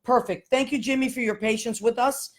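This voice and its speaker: A woman's voice: on the high side, fairly even intonation, brisk. A woman hosting a live online talk show, chatting with guests and greeting viewers as they join. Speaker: host. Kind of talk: casual live interview chat.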